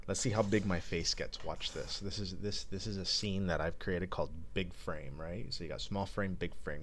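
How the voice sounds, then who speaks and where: A man's voice: deep voice, slight background noise. A man hosting a live stream radio show, chatting casually and unscripted, thinking aloud in a rambling, self-deprecating way.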